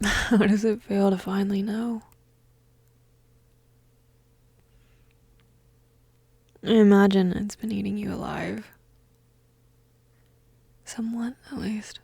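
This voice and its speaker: A young goth woman played by an audio roleplay actress murmurs with shy affection and gentle teasing. Her delivery is slow and hesitant, soft and breathy, often dropping to a whisper. The sound is close and dry. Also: mid-range voice, steady tone, no echo.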